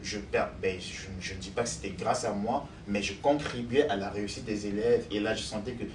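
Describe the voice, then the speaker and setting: A man's voice: fairly low pitch, fairly even intonation, fairly quick. A man, answering interview questions as a doctoral student, thoughtful and hesitant with many fillers. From Cameroon. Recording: echoey room, distant mic, noise.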